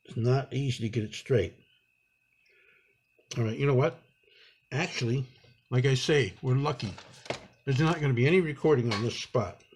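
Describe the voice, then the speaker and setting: A man giving an improvised hands-on tutorial, talking unhurriedly and haltingly through each step as he works. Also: mid-range voice, a little mellow, slight background noise. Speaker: instructor demonstrating a repair.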